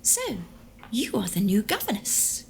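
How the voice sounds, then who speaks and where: A woman playing a haughty, brisk English widow, bossy and formal, in an audio drama. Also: mid-range voice, big pitch swings, vocal fry, a little hiss or hum.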